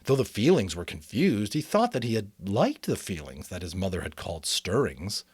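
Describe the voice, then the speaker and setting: Male amateur narrator, expressive and animated, dramatic book reading, dry recording. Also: lowish voice, normal speed, slightly rough, dry recording. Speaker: amateur voice actor reading an audiobook, commenting. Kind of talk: dramatic audiobook reading with casual commentary.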